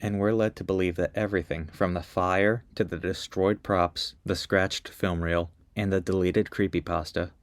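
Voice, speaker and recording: A man with a notably deep, low voice narrates a scripted review. His delivery is measured and even, like steady storytelling. The recording is close and dry, with no room sound. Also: no echo. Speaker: video essayist.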